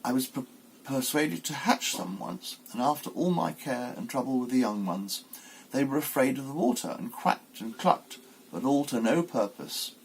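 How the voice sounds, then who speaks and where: A man's voice: medium pitch, normal speed, vocal fry, a little hiss or hum, close to an everyday voice, low-quality recording. A man voicing an opinionated old female duck who gives emphatic, know-it-all advice in a storybook. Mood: knowing, insistent.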